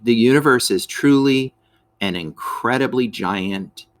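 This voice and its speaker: A man reading a scripted educational lesson aloud for children, as a teacher, in an expressive way that speaks straight to the listeners. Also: mid-range voice, a little slow. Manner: expressive, addresses listeners with questions.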